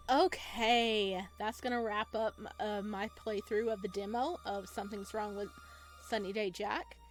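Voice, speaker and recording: A woman's voice: mid-range voice, fairly even intonation, measured pace. A woman hosting a visual novel playthrough, reading the story and commenting casually. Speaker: game playthrough host. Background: game music.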